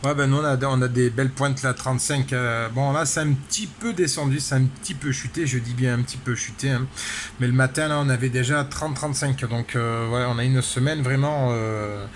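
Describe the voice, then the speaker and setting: Male CB operator, mid-pitched, casual and rambling, repetitive verbal tags, light background noise. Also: fairly even intonation, a little fast. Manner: rambling, full of repeated tag words. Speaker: amateur CB radio operator. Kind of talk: casual radio contact chat.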